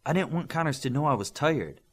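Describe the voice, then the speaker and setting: A teasing young superhero woman read by a male narrator in an audiobook. The delivery is dramatic and bantering, lifted in pitch and slightly husky. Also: lively intonation, a little fast. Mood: weary. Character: wry, teasing young female superhero team leader.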